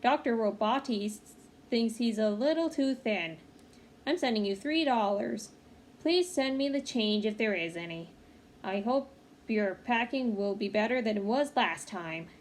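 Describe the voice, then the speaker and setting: A woman's voice: a little high, expressive, unhurried, a little hiss or hum. A woman voicing a proud, practical mother in a storybook, speaking in a firm, matter-of-fact way. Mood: proud, particular.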